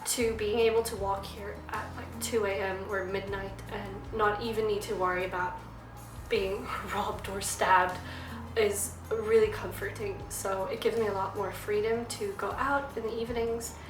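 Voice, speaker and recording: Hoarse young woman vlogging chattily. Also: medium pitch, fairly even intonation, moderate pace, over music. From South Africa. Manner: chatty and upbeat, with laughs. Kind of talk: casual vlog talk to camera. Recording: echoey room.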